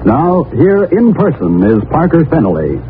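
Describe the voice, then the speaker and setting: A man's voice: on the high side, huge pitch swings, normal speed. A man announcing a 1940s radio show in a formal, scripted style. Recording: tinny 1940s radio recording over music.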